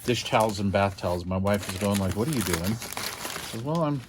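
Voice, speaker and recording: A man's voice: on the low side, expressive, fairly quick, noisy background. An older American man chatting casually as he hosts a hobby unboxing video, rambling along in a folksy, self-deprecating way.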